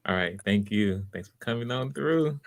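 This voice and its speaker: A man's voice: on the low side, expressive, moderate pace. A man casually hosting a livestreamed audition, giving encouraging, conversational feedback. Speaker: host and casting director of live auditions. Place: live video call stream.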